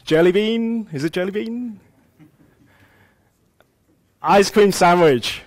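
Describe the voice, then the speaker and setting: A man's voice: high voice, takes it slowly. A male conference speaker, a native Cantonese speaker from Hong Kong, talks to a room full of developers. His delivery is loose and conversational, dotted with "um" and "uh" as he explains his slides. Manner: conversational, many "um" and "uh" fillers. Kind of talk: live tech talk.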